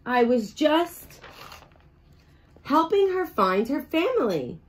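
Gentle storybook shark, male, who insists he is misunderstood; a woman reads him aloud, slow and light-voiced. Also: sits high, big pitch swings, pitched above the performer's own voice, exaggerated voice. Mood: defensive. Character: big misunderstood male shark, sweetly defensive. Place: bedroom.

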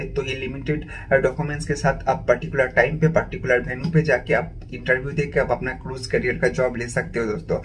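Young guy from india talking straight to camera, pretty flat delivery, faint hum behind. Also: medium pitch, rough recording. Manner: direct, upbeat address to viewers, mixing Hindi and English. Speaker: career channel host. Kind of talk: informational vlog to camera.

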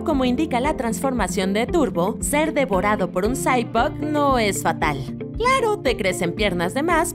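A woman narrating a scripted countdown as host, expressive and playful, with teasing asides. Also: a little high. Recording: voice over loud music bed. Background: loud music.

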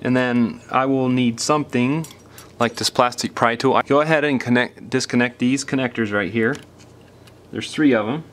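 A man presents a hands-on how-to in an informal, plain-spoken manner. His voice sits at an average pitch, and he explains things step by step as he works. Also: moderate pace, a bit bright. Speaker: repair tutorial host. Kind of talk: casual step-by-step hands-on demonstration.

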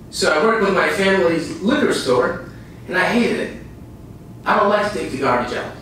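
Man in his 30s from Iraq, high voice, earnest motivational speaker, distant camera in an echoey seminar room. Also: pushed and bright, a little hiss or hum. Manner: earnest, repeats lines for emphasis, speaks with pauses. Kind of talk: live seminar talk. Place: dim small room with a projector screen and a small audience. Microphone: far camera, no visible mic. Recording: echoey room recorded from far off.

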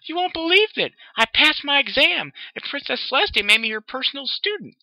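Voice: sits high, very animated, a little fast, pushed and bright, no echo, voice pushed up, exaggerated voice. A reader doing a squealing, eager little filly. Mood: excited. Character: excited little filly unicorn, bookish. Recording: dull dry lo-fi recording.

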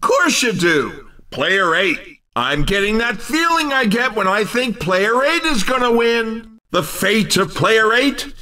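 An actor playing a zany, excitable race commentator in a cartoon racing game, with a showy announcer's delivery. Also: male voice, very high-pitched, distant mic, heavily acted. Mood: hyped, confiding. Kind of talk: excited arcade sports commentary.

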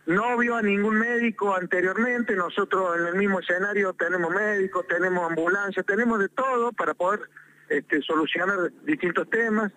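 A man with an Argentine accent speaks over a tinny phone line, his voice high and bright. He is insistent and aggrieved, hammering his complaint home and repeating phrases for emphasis. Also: normal speed. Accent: Argentine Spanish. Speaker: mayor calling in. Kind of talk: phone interview.

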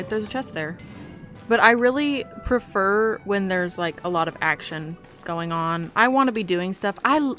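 A woman gives casual commentary on a video game she is playing. Her voice is medium in pitch and her talk is chatty and informal, with game music clearly audible behind her. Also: a bit bright. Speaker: gaming video host.

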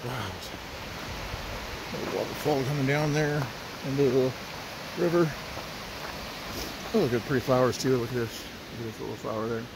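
A man's voice: medium pitch, gravelly, noisy background. A middle-aged man with a sniffly voice, chatting easygoing to his phone camera as he hikes a forest trail. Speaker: hiker vlogger. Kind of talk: casual rambling hike narration.

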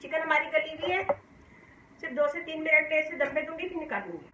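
A woman from Pakistan hosting a home cooking demonstration, calmly explaining each step and often inviting viewers to look. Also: sits high, a little fast, dull audio. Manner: calm, homely explaining, often saying "dekhiye ji". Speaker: cooking channel host. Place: home kitchen, indoors. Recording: echoey kitchen with faint hum.

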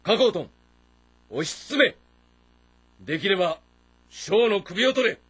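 Stern, lively-pitched warlord. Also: male voice, a little slow, husky, full character voice. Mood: fierce, commanding. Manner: authoritative, terse commands. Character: stern, shrewd warlord commanding his army, instructing his son. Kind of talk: Japanese historical game drama.

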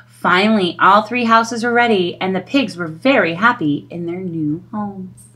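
A middle-aged woman reading a children's storybook aloud in an expressive storytelling style. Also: mid-range voice, measured pace.

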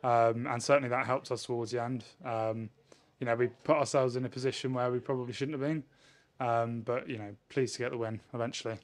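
A man, a rugby player answering questions in a post-match interview in a measured way. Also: medium pitch, steady tone. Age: 20s. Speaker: professional rugby player, winger. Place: indoors in front of a sponsor backdrop. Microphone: handheld interview microphone held at chest height.

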